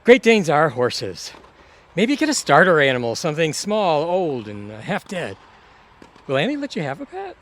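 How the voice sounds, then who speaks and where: Wisecracking buddy, highish lively voice. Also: male voice, normal speed. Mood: joking. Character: breezy male buddy, scheming, overconfident would-be ladies' man. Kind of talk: comedic audio drama acting.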